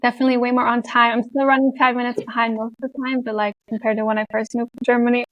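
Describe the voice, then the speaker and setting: Chatty Canadian woman, fairly high voice. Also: flat delivery, racing, dry recording, muffled recording. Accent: Canadian English with an international European tinge. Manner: chatty, laughs often, many likes and you knows. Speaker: guest, content creator. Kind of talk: casual interview chat.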